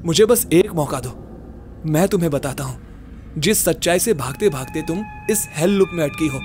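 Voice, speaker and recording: A man's voice: a little high, huge pitch swings, moderate pace. A man playing a bold, exasperated ghost-case solver in a horror-comedy audio drama, blunt and insistent, mixing in English. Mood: calm, persuasive. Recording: music bed behind.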